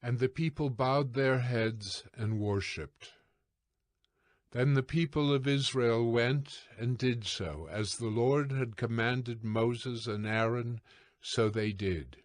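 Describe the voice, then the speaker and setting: A man narrating scripture from a script in a solemn, measured way. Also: mid-range voice, no echo, clean studio sound.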